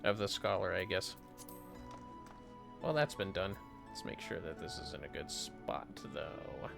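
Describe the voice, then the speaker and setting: A man's voice: deep voice, a little slow, creaky, over music. A man giving casual, chatty gameplay commentary with joking asides as he livestreams a fantasy game. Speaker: livestreamer playing an online fantasy RPG.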